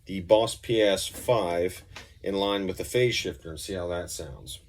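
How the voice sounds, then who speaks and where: A man with a low-pitched voice talks through gear in a loose, informal way. He sounds like a musician doing an unscripted demo, rambling as he goes. There is some light background noise with occasional clicking. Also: unhurried. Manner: informal, rambling, mildly profane. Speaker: musician demonstrating effects pedals. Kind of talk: casual gear review, off-the-cuff demonstration.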